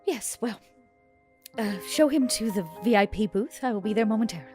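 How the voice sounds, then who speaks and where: A woman's voice: medium pitch, animated, fairly quick, a little mellow, soft background music. A woman playing a tabletop roleplay, speaking in a poised, formal way. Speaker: player.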